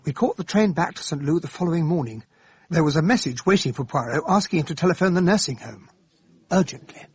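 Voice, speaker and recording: Loyal English gentleman companion, high male voice, lively intonation, earnest, polite, radio drama narrator. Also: fast, slightly rough. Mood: concerned, recounting.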